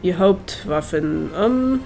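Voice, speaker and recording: Slow-paced, stuffy-nosed man commentating. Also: sits high, animated, creaky, a little mellow, soft background music. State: slightly congested from a cold. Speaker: Let's Play host. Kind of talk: casual game commentary.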